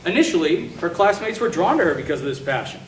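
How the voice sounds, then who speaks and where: Man, teacher, earnest award speech, higher voice, far off, echoey hall. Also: sing-song, slight background noise. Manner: earnest, measured, with filler ums. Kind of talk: prepared award speech at a school assembly. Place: school assembly hall.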